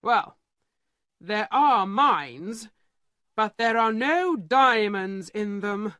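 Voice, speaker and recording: A woman voicing a calm, blunt male lawyer who speaks in a flat, matter-of-fact way. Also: mid-range voice, very slow, no echo, studio quality. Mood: grave.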